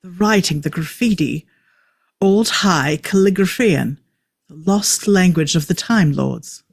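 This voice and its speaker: A man's voice: high-pitched, a little slow, vocal fry, no room sound, clean studio sound, exaggerated voice. A man acting an eccentric, opinionated time-traveller in a script table read, with clipped, emphatic delivery. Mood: fascinated, explaining. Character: eccentric, opinionated male time-traveller, a know-it-all enthusiast.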